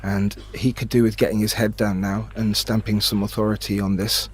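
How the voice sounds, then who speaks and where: A man giving expert pool analysis as co-commentator, thoughtful and a bit halting. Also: on the low side, flat delivery, breathy edge. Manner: analytical, hesitant with fillers, laughs easily. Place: remote booth.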